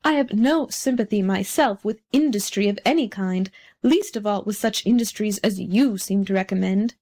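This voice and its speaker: A conceited storybook firework played by a woman reader holds forth in a fairly high voice. He is pompous and condescending, forever boastful and very pleased with himself. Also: expressive, normal speed, darkish tone, lightly acted. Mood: haughty, disdainful. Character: vain, haughty, boastful male firework rocket. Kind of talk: storybook character reading.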